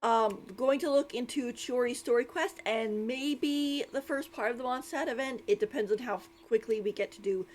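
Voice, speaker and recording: A woman's voice: fairly high pitch, slight background noise. A woman streaming a video game, chatting informally and thinking aloud as she goes. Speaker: livestreamer. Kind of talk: casual game stream chat.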